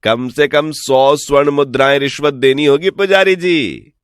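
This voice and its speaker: Man acting a sly, high-pitched village swindler. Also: unhurried, smooth, dry recording, clean studio sound, natural voice. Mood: sly, persuasive. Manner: persuasive, boastful, mock-respectful. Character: sly smooth-talking male village swindler posing as returned from heaven. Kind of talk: animated Hindi folk tale voice acting.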